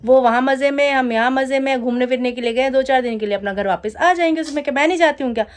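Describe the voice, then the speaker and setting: Woman vlogger, thirties, higher voice, chatty home vlog, playful and teasing. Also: fast. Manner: chatty and laughing, teasing her husband. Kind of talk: casual vlog chat. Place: home at night, DJ music playing outside.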